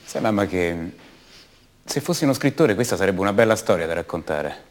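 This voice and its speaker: An actor playing an ordinary, self-doubting man back home, speaking a halting, conversational monologue in a radio drama. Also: sits low, expressive. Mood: casual, reassuring. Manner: halting, conversational, rhetorical questions to the listener.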